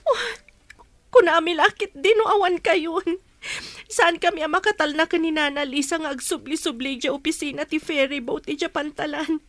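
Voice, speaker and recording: A woman's voice: sits high, moderate pace, fairly bright tone, no room sound. An actress with an Ilocano accent playing an anxious young wife waiting for news of her husband in a radio drama.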